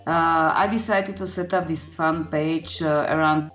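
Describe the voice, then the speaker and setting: A woman's voice: low voice, fairly even intonation, fairly bright tone, soft background music. Woman, Slovak accent, earnest, hesitant, dull lo-fi recording. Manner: earnest, halting non-native English with many fillers. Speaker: guest. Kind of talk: casual interview chat.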